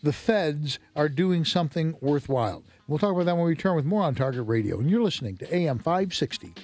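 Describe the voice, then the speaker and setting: A man's voice: on the high side, quick pace. A man hosting a talk radio show, bantering with a co-host and joking and laughing often. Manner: animated, laughs often, jokey asides. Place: radio studio. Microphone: studio microphone.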